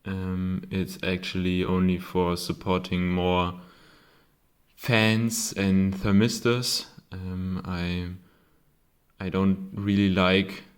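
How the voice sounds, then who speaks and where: German man, non-native English, unhurried maker explaining his board, stop-start delivery. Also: low-pitched, fairly even intonation. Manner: hesitant, many ums and self-corrections. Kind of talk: casual show-and-tell.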